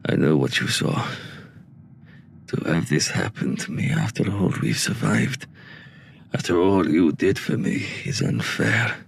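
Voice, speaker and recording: A man playing a solemn, regretful man saying a hard farewell in an audio drama, with a gravelly voice. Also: deep voice, very animated, unhurried, a little hiss or hum, heavily acted. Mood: hurt, regretful.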